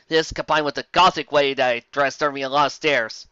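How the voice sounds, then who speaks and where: Casting: theatrical, mocking fanfic narrator, mid-pitched with a sharp forceful edge, on a slightly muffled close-sounding mic. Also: steady tone, a little fast, clear, resonant tone, no room sound. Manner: theatrical, exclamatory, sarcastic asides. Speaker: host of a fanfic reading show. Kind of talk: comedic dramatic reading with mocking commentary.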